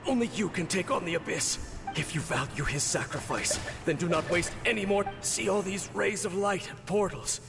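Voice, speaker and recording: A man voicing a stern, mysterious warrior guide in a fantasy game, speaking gravely and with command. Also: high-pitched, normal speed, over music. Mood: grave, urgent. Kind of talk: scripted game dialogue.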